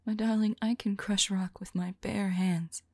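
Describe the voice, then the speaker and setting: A woman playing a remorseful, lovelorn female vampire in an ASMR roleplay, whispering softly and tenderly in a halting, intimate monologue. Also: medium pitch, steady tone, no room sound. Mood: tender, relieved. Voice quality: soft, breathy whisper. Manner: slow, halting, tender delivery.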